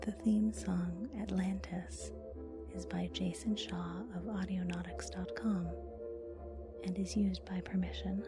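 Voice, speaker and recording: Woman, whispered ASMR announcement, unhurried scripted read, clear music bed. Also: fairly low pitch, little pitch movement. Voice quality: whispery, unvoiced. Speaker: podcast outro announcer.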